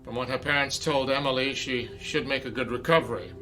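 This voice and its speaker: A man plays a strict, demanding theater director in a radio drama who barks clipped, commanding orders at his cast in a raised, bright, forceful voice. Faint music runs under the scene. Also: mid-range voice, fairly even intonation, brisk, close to an everyday voice, low-quality recording.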